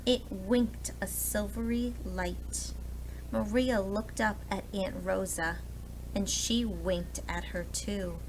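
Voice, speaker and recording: A woman's voice: mid-range voice, steady tone, slow, slight background noise, poor audio. A woman reading a children's storybook aloud expressively, like a preschool teacher addressing young listeners. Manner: explains words and asks listeners questions.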